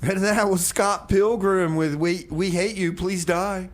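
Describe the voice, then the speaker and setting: A man's voice: high voice, steady tone, measured pace. A male radio host with a folksy, rambling manner, bantering between songs and comedy bits. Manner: folksy, rambling, repeats phrases.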